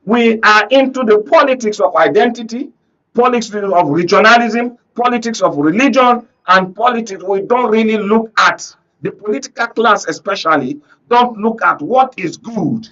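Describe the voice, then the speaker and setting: A man's voice: high-pitched, moderate pace, bright, forceful tone. A man with a Nigerian accent speaking emphatically as a guest analyst on a political panel, often posing rhetorical questions. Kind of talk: live panel discussion, political commentary. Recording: dull lo-fi broadcast audio.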